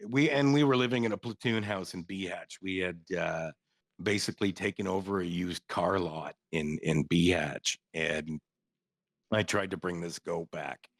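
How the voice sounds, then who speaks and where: A man from Canada with a very deep voice tells stories in an easygoing, joking way, laughing often and swearing casually. The sound is dry and close to the mic, with no room around it. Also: moderate pace, dry recording. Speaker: guest, former soldier. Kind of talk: casual podcast storytelling.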